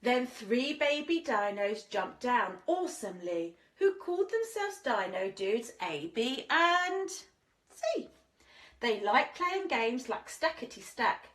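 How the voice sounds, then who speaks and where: Animated, slow-paced woman reading a storybook. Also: on the high side. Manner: dramatic, addressing young listeners with questions. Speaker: infant school teacher reading stories to children. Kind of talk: expressive children's storybook reading.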